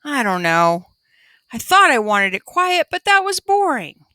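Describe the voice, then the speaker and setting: A woman's voice: sits high, sing-song, a little slow, bright, forceful tone, no room sound, voice pushed up, exaggerated voice. A woman voicing a sleepy, easily vexed young female panda, sing-song and childlike. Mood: uncertain.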